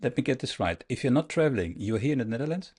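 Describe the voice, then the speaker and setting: A man's voice: medium pitch, animated, quick pace, darkish tone, husky. A man hosting a political interview, making an earnest, emphatic appeal to his viewers before questioning his guest. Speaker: interview host. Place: in the Netherlands.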